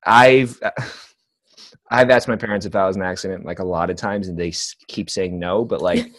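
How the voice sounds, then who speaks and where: A man's voice: deep voice, steady tone, normal speed, fairly bright tone, no room sound. Guest, man, late 20s, hesitant and rambling, laughing, dry close sound. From United States (Northern Virginia). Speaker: podcast guest. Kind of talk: casual chat.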